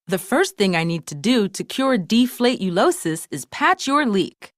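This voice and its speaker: A woman's voice: medium pitch, big pitch swings, unhurried, no room sound, studio quality. A woman voicing a caring, confident young girl who doctors toys in a storybook, explaining things reassuringly.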